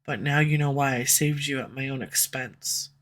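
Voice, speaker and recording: A woman's voice: rumbling low, fairly even intonation, moderate pace. A woman voicing a flustered tsundere female villain in love, in an audio roleplay for a listener, defensive at first and then tender. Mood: confessing. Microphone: USB condenser microphone at home.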